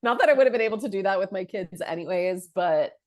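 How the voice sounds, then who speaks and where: A woman in her thirties, a podcast co-host chatting casually, her voice deep and nasal from a head cold, self-deprecating and full of fillers. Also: lively intonation, fast, a little mellow. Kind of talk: casual chat.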